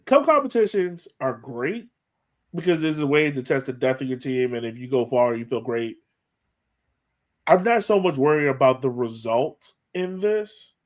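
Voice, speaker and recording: A man's voice: mid-range voice, expressive, a little slow. A man co-hosting a sports podcast, talking casually and thinking aloud as he gives his opinions on soccer. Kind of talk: casual soccer analysis chat.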